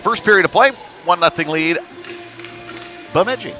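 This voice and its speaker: A man's voice: high voice, lively intonation, moderate pace, sharp tone, soft background music. A man doing live play-by-play hockey commentary in short clipped phrases, following the action as it happens. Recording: noisy rink ambience.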